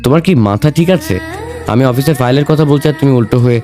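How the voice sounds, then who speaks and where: A man's voice: medium pitch, racing, dark tone, soft background music, close to an everyday voice. A man playing a fussy, suspicious older male office manager in an audio story drama. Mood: bewildered, concerned.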